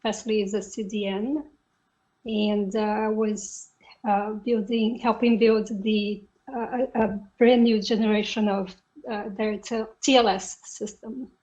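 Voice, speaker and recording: A woman from Brazil with a Brazilian Portuguese accent, a guest on a tech podcast who speaks in a halting way and often pauses to find her words. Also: medium pitch, little pitch movement, darkish tone, poor audio. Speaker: podcast guest, software engineer. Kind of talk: casual interview talk.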